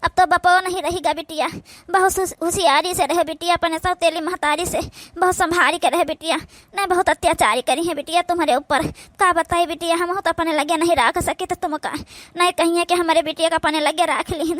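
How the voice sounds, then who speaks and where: A woman's voice: very high voice, steady tone, a little fast, a bit bright, no room sound. A woman voicing a kindly, protective village aunt in a cartoon, soothing and motherly, with an Awadhi accent. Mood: sad, consoling. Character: kindly, protective village aunt consoling her orphaned niece. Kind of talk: village cartoon comedy-drama acting.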